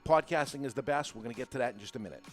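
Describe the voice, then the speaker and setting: A man's voice: medium pitch, racing, soft background music. Emphatic male podcast host. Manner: emphatic, repetitive, rhetorical questions. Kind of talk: informal talk show monologue, explaining and persuading.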